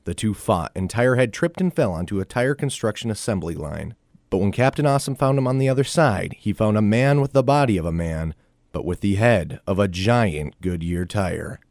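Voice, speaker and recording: A man's voice: fairly low pitch, animated, moderate pace, darkish tone, no echo, studio quality. A man narrating a scripted adventure story in a theatrical storytelling manner.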